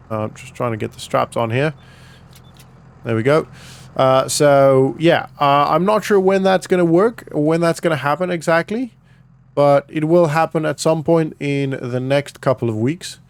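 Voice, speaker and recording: A man's voice: mid-range voice, steady tone, measured pace, clear, resonant tone. Casual, chatty man narrating gameplay. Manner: chatty, informal, thinking aloud. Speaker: gaming vlogger and host. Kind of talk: casual roleplay vlog commentary over farming simulator gameplay.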